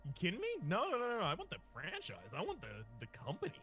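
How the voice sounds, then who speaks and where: A man's voice: high-pitched, wildly swooping pitch, racing, sharp tone, soft background music. A man joining a gaming video as a guest, bantering in a playful, joking way. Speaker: guest gamer. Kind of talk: casual let's-play banter.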